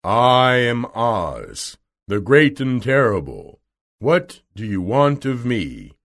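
A man voicing a great and terrible wizard, imperious, pompous and drawn-out, in a children's storybook. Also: medium pitch, huge pitch swings, vocal fry, fairly bright tone, heavily acted. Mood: imposing. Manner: slow, drawn-out, pompous delivery.

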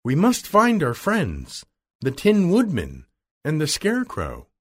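A man's voice: a little high, wildly swooping pitch, slow pace, voice pushed up, exaggerated voice. A man voicing Dorothy as an earnest, eager young girl in a children's storybook.

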